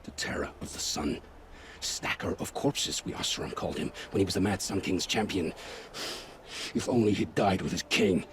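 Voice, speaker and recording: A man playing a guilt-ridden scavenger scout in a video game, speaking gravely and with haunted, poetic phrasing. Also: sits low, normal speed, in a whisper. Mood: dread, hushed. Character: guilt-ridden male scavenger scout, forced informant, begging for family. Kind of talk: dramatic video game acting. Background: background music.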